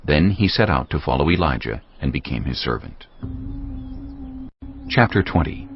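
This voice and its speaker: A man's voice: a bass voice, expressive, moderate pace, slightly rough. A man narrating a dramatised Bible story as a scripted reading. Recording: dull lo-fi audio with music. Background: background music.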